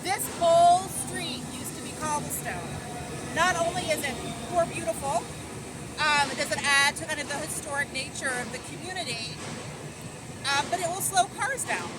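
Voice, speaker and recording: A middle-aged woman, a local resident advocate, giving a walking tour of her street in an earnest, thoughtful way with many pauses and ums, filmed on a handheld camera outdoors. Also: high-pitched. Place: city street outdoors by the waterfront. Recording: loud street traffic noise. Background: traffic and construction noise.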